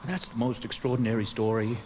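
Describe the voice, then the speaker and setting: A man playing a sober gentleman in an old time radio drama. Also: medium pitch, flat delivery, fairly quick, music in the background, lightly acted. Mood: amazed. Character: sober, astonished gentleman listener. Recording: clear background noise, ambience.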